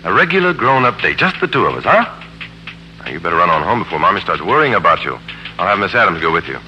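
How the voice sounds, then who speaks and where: An American actor playing a smooth, sardonic, tormented businessman and father in a 1940s radio drama. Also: low voice, very animated, fast talker, pushed and bright, dull audio, full character voice. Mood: tender, soothing. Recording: humming, noisy 1940s radio recording.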